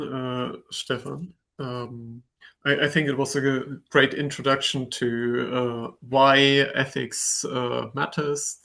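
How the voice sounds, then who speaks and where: A man's voice: mid-range voice, vocal fry, a bit bright. A man presenting as a contractor in a webinar, speaking hesitantly with fillers.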